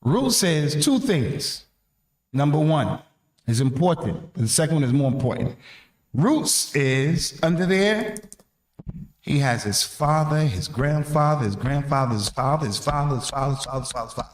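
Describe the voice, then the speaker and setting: A man's voice: on the high side, sing-song, normal speed. A man with an American accent giving an informal sermon, emphatic and colloquial, repeating key phrases and posing rhetorical questions. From North America. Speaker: preacher giving a religious reminder to youth. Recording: echoey room.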